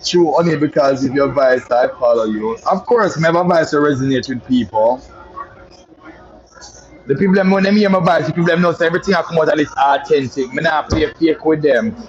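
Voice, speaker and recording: A man's voice: on the high side, fairly quick, a little hiss or hum. Boastful Jamaican man, muffled lo-fi sound. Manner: boastful, flamboyant patois chatter, calls listeners "my love". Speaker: social media personality. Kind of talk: casual storytelling monologue.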